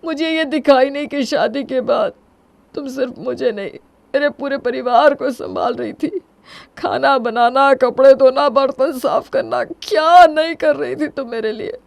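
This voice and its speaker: A proud, distraught young husband, acted by a woman, speaks with melodramatic emphasis. The voice rides high in a dry story recording. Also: unhurried, creaky, no room sound. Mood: tearful remorse.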